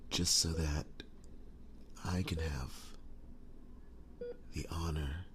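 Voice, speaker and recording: A man playing a wounded, devoted young werewolf boyfriend in an intimate ASMR roleplay, speaking in a breathy whisper, halting and earnest. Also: extremely low pitch, fairly even intonation, full character voice. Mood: earnest, loving. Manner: halting, earnest, soft-spoken with long pauses.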